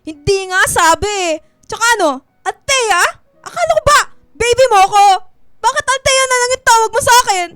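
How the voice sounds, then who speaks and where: Radio drama girlfriend, played by a woman: young, Filipino, theatrical and clingy, extremely high and piercingly bright, music underneath. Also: lively intonation, normal speed, no room sound, clean studio sound. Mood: sulky, whiny. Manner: theatrical, whiny, exclamatory. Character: giddy, clingy young girlfriend, playfully melodramatic.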